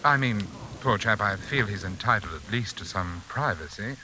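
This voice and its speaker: An actor playing a suave, sinister brother-in-law who runs a sanitarium, in formal, theatrical 1940s radio suspense style. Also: male voice, lowish voice, animated, normal speed, lightly acted. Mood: suavely evasive. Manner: theatrical, smoothly menacing, formal. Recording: hissy 1940s radio recording, rain behind.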